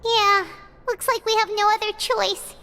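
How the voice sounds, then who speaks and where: A woman voicing a tiny, excitable, whiny fairy-like girl companion in an anime-style video game, exclaiming like a small child. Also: very high voice, unhurried, over music. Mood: indignant, worried. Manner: whiny, exclaiming, speaks of herself in third person.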